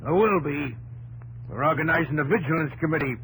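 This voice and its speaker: An actor playing a fiery American frontier newspaper publisher and vigilante leader, blustery and folksy, in a 1950s radio drama. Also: male voice, fairly high pitch, brisk, fairly bright tone. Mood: fiercely righteous. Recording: noisy old radio broadcast with hum.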